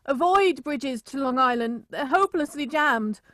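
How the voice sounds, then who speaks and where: Woman acting a high-voiced grave radio reporter. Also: lively intonation, normal speed, a bit bright, no room sound, clean studio sound. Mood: grave, resigned. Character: grave radio reporter narrating a city's destruction from a rooftop. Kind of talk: radio drama acting, live news report.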